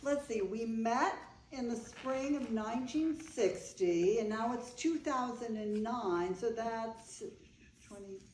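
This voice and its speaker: A woman's voice: a little high, slow, darkish tone, slight background noise. A woman reading the part of an older bride in a staged play, wry and fondly reminiscing. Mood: tender. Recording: roomy, echoing live room recording.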